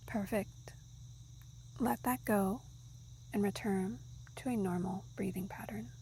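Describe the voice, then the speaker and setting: A woman guiding a meditation in a soft, soothing, breathy, half-whispered voice. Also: medium pitch, steady tone, slow pace, a little hiss or hum, poor audio. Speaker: yoga nidra guide. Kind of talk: guided meditation narration.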